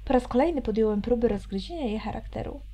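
Female game narrator, highish voice, teasing commentary, clear music behind. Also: expressive, quick pace, warm tone. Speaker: let's player reading a visual novel aloud. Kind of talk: expressive reading aloud with commentary.

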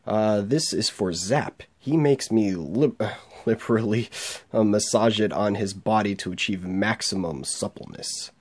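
A man's voice: measured pace, darkish tone. A man with a sore throat voicing a timid, stammering male alien officer in a game. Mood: flustered. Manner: stammering, high.

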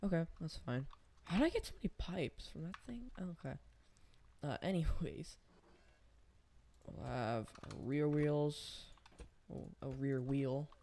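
A man's voice: on the high side, expressive, unhurried, warm tone, slight background noise. A young man casually commenting on his own gameplay, thinking aloud and rambling as he builds. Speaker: gaming channel host.

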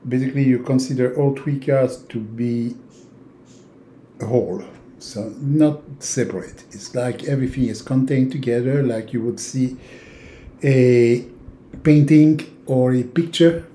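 A man in his sixties from Europe, a native French speaker with a French accent, giving an informal, halting instructional talk with long hesitations. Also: mid-range voice, dark tone, a little hiss or hum. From francophone Europe. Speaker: tarot teacher. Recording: roomy, echoing indoor sound.

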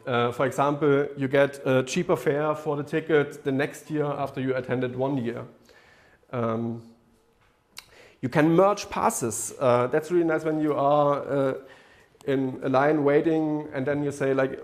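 A man in his thirties giving a technical talk at a meetup, seated at a laptop in a lecture room, often pausing with fillers and restarting his sentences. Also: a little high. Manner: hesitant, many fillers and restarts. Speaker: meetup speaker. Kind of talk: technical presentation. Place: lecture room with projector screen.

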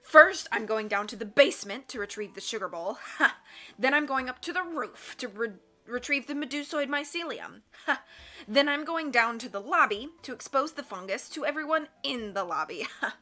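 A woman's voice: sits high, lively intonation, moderate pace, bright, forceful tone, voice pushed up. A woman voicing a scheming, theatrical male villain who gloats with mocking laughs and growled threats. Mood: gloating.

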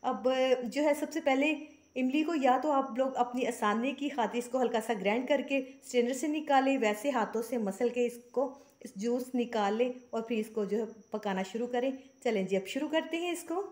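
A woman's voice: on the high side, moderate pace, a little mellow. A woman, hosting a cooking channel and explaining a recipe step by step in a homely, chatty way, sprinkled with blessings. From Pakistan. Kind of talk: casual cooking tutorial voice-over. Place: home kitchen.

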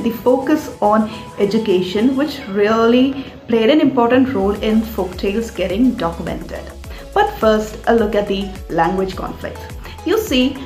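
Indian-accented female storyteller, expressive and explanatory, higher register, music underneath. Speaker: storyteller and author hosting a folktale series. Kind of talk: enthusiastic scripted presentation to viewers. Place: small room indoors. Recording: roomy echo with music underneath. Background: background music.

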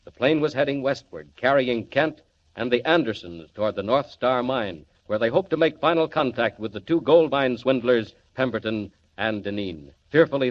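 An actor playing a grave, suspenseful narrator of a 1940s radio adventure serial. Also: male voice, mid-range voice, close to an everyday voice. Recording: dull, noisy old radio recording.

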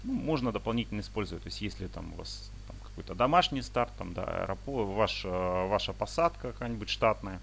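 A man giving an unscripted software tutorial, explaining step by step in a halting way over a screen recording. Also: lowish voice, lively intonation. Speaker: instructor demonstrating paragliding flight software. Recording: clearly noisy home screencast with clicks.

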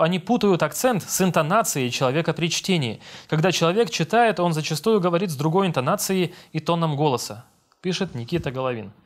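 A young man, a voice-over dubber, casually reading out viewer comments and answering them at his desk at home, relaxed and self-deprecating. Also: fairly high pitch. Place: home room with monitors, indoors.

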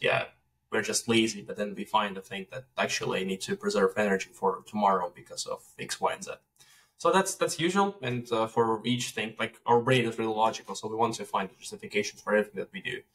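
A man's voice: lowish voice, fairly bright tone, distant mic, poor audio. A man in his late twenties from Estonia, a native Russian speaker, talking thoughtfully as a podcast guest on a video call from home. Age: late 20s. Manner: measured, thoughtful, with frequent hesitations. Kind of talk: reflective conversation. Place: room at home, indoors. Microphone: laptop on a video call.

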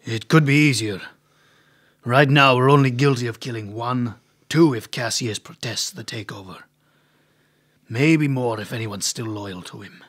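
A scheming half-bear man acted by a man in an audio drama. His voice is husky and mid-pitched. He talks in measured, conspiratorial phrases, sly and calculating. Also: fairly bright tone.

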